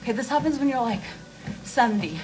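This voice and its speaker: A woman's voice: on the high side, animated, fast, background noise. A forty-year-old woman hosting a casual live stream, chatting candidly and informally to her followers with emphatic repetition. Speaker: host of a beauty and wellness live stream.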